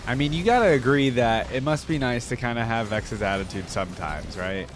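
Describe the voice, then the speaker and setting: A man's voice: medium pitch, animated, a little fast. A young man hosting a casual reaction video with laid-back, chatty, appreciative commentary.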